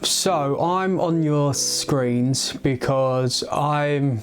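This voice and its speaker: Young man, stammer, slow halting delivery, guitar music behind. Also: a little high. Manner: stammering, halting with many pauses and restarts. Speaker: vlogger. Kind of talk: personal story to camera.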